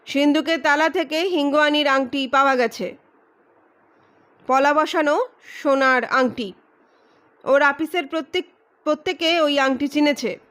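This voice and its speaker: A woman voicing a boastful, ruthless male private detective in an audio story, loud, emphatic and declamatory. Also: high-pitched, a little slow, long pauses. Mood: accusing, triumphant. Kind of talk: dramatized audiobook reading.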